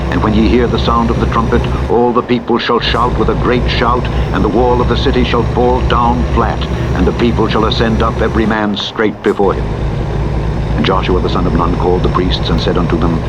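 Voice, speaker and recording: A man giving a solemn, measured and declamatory reading of scripture as a Bible narrator. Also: fairly low pitch, muffled recording. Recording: loud vehicle engine noise over voice. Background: truck driving simulator engine and road noise.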